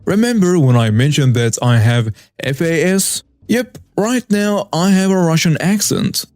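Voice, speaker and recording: A man's voice: fairly high pitch, animated, measured pace, a little mellow, dry recording, clean studio sound, soft background music. A man doing a playful fake Russian accent. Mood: friendly. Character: young man faking a thick Russian accent.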